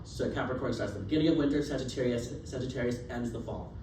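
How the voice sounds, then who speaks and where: A man's voice: mid-range voice, fast talker. A young man giving a casual class presentation, chatty, with lots of fillers and easy laughs. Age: young adult. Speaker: student presenter. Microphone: distant recording device. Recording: roomy, distant, noisy classroom.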